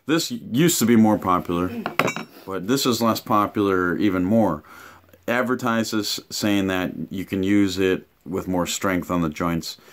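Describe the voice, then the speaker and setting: A man in his thirties giving a casual, offhand unboxing and review, explaining things haltingly in a room at home. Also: deep voice. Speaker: tool reviewer and vlogger. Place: small home room, indoors.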